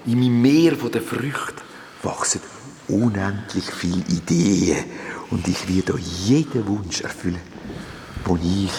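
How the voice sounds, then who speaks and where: A man's voice: deep voice, measured pace, darkish tone, a little hiss or hum. A man playing a fantasy-world ruler in a Swiss German children's audio drama, telling the tale in an emphatic, theatrical way. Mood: solemn, grateful. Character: grateful fantasy-world ruler freed from a spell, recounting dramatically. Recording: long reverb, music behind.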